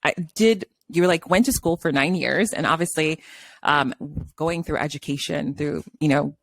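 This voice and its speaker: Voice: medium pitch, moderate pace, creaky, fairly bright tone, slight background noise. A podcast host from Brazil with a light Brazilian accent, chatting upbeat and gushing with praise in a casual interview.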